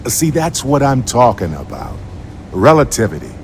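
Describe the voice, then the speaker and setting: A man's voice: mid-range voice, big pitch swings, moderate pace, darkish tone, a little hiss or hum, lightly acted. A man playing an unflappable, easygoing, joking space explorer in a video game, with a wry, rambling manner. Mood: cheerful banter. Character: wisecracking, unflappable, easygoing middle-aged male space explorer. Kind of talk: video game dialogue acting.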